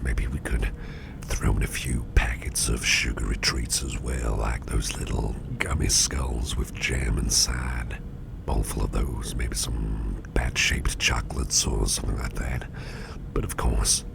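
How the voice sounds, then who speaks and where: A man playing an attentive, easygoing businessman boss in a soft, breathy, whispery ASMR roleplay, unhurried and soothing, murmuring close to the microphone. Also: steady tone, very noisy, full character voice. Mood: warm, indulgent. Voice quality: very low, gravelly, breathy near-whisper. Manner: unhurried, soothing, indulgent, musing aloud.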